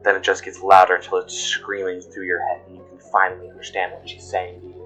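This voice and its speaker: A haunted woman played by a man confides dark secrets in a horror audio drama. The voice is low and grave, with music running beneath it. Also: completely flat delivery, fast. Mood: awed, unsettled. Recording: music bed under voice. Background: background music.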